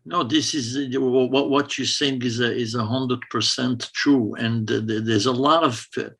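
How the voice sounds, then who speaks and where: Gravelly, bright-voiced man who stammers. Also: medium pitch, fairly even intonation. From Morocco. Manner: halting, stacks of repeated words, laughs easily. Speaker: cantor, guest. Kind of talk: casual interview answers.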